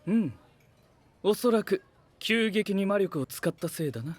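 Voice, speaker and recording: High-voiced aloof young knight. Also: male voice, expressive, measured pace. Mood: cool, critical. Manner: terse, cool, stiff phrasing. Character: aloof, analytical, critical young male knight. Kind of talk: Japanese anime-style audio drama acting.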